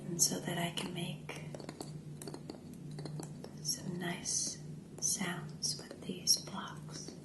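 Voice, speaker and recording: A woman from the Netherlands whispering slowly for an ASMR video, getting a cold, recorded on a binaural mic at home. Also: on the low side, completely flat delivery, echoey room. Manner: slow, soft whisper, describing what she does. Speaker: ASMR creator. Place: home room with glossy floor.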